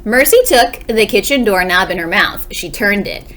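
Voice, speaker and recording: A woman's voice: mid-range voice, lively intonation, moderate pace, fairly bright tone. A woman, a second grade teacher, reading a storybook aloud expressively and explaining it for young listeners. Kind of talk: expressive children's read-aloud.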